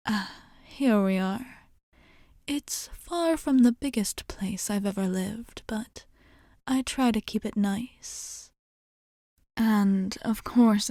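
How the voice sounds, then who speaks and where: A woman voicing a gentle, courteous vampire bartender in an ASMR roleplay, speaking in a soft, breathy, near-whispered voice with polite, formal reassurance. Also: mid-range voice, no room sound, clean studio sound. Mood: soothing, courteous.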